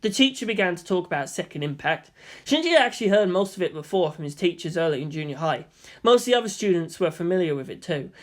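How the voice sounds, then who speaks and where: A man's voice: high voice, animated, quick pace. A man narrating fiction as an audiobook reader, in scripted storytelling style.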